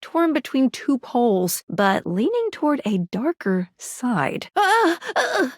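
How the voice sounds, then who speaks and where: A woman voicing a perky, earnest pair of magic eyeglasses who guides the player in a video game, quirky and expressive with cute little interjections. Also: high voice, dry recording, clean studio sound, full character voice.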